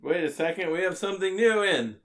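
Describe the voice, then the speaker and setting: Wry American male co-host, 40s, lively swings in pitch, casual teasing banter. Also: a little fast. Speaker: podcast co-host.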